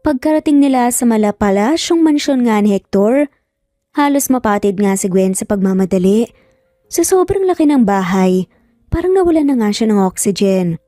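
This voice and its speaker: High-voiced woman narrating a story. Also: lively intonation, normal speed, dark tone, no room sound, studio quality. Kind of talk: scripted dramatic story narration. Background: music.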